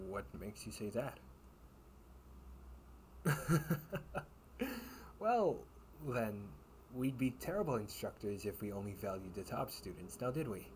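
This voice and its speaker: Over clear background noise comes a man acting as a male knight captain in an audio roleplay. He takes his time, phrasing things in a courtly, old-fashioned way. His manner is that of a kindly mentor who gently teases his young trainees. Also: on the low side. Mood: amused, apologetic. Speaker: amateur audio roleplay performer. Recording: clearly noisy home recording with ambience.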